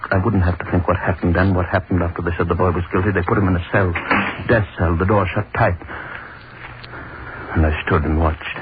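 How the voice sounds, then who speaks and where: A man playing a deep-voiced, brooding hangman. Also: fairly even intonation, close to an everyday voice. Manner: slow, broken, obsessive inner monologue. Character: brooding male hangman, obsessed with his noose. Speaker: narrator. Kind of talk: 1930s radio horror acting. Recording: tinny, hissy 1930s radio broadcast.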